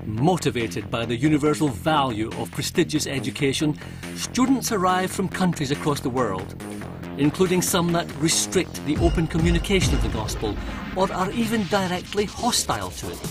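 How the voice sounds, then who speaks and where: A man narrates a documentary in a measured, earnest voice, his pitch rising and falling freely. He sits a little higher than most men. Music plays clearly underneath. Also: lively intonation. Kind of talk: scripted narration.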